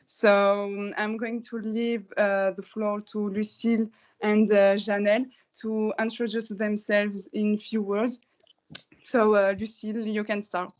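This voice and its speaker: A woman interviewer on a video call, talking haltingly with many uhs. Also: medium pitch. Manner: halting, filler-heavy, flat delivery.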